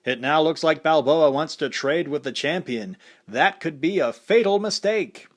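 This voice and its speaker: A man voicing an excited male fight announcer calling a boxing match play by play, raised high and loud above his own voice. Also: unhurried. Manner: breathless play-by-play.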